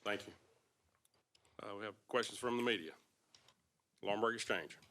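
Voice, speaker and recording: A man's voice: on the low side, normal speed, a bit bright. A man moderating a candidate forum, introducing speakers politely with a few stumbles.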